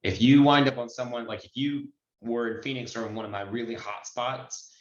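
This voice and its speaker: A man's voice: medium pitch, fairly even intonation, fairly quick. A man giving candid, informal instruction as a trainer answering questions on an online group call. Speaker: notary trainer. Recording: dull, echoey online call audio.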